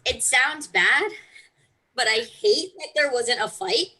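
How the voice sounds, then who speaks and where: A woman's voice: high-pitched, animated, slow pace, bright, forceful tone, poor audio. A woman chatting about books in an informal, emphatic, opinionated way. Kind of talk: casual book discussion chat.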